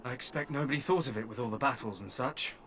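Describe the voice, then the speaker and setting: A man's voice: medium pitch, fast talker, a bit bright, close to an everyday voice. A man voicing a hungry, caged deserter in a video game, wry and wheedling, with cheeky flirting.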